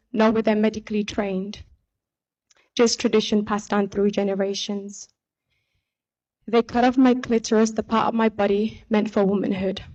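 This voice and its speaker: A young woman from Somalia giving an earnest, measured speech as a pageant contestant from a podium microphone in a large hall. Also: mid-range voice, a little mellow, dull audio. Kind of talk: prepared stage speech. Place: large indoor hall on stage. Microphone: podium microphone on stand.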